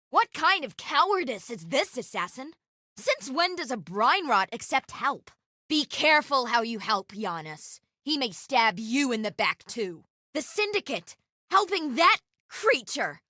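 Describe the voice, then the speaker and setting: An actress playing a stern, vengeful interrogator in a video game, clipped, commanding and threatening. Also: female voice, sits high, pushed and bright, no echo, studio quality, exaggerated voice. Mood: scornful. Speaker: game character voice.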